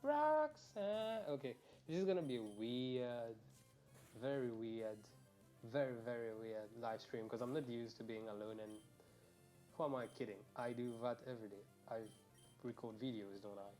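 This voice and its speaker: A young man talks loosely to his viewers while he plays, drifting between topics and cursing without a second thought. His voice sits at an ordinary middle pitch and comes through a quiet earphone mic, with faint game music underneath. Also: expressive, moderate pace. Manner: rambling and chatty, swears freely, talks to viewers. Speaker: streamer. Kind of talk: casual live game stream chat. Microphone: earphones mic, quiet and low in level.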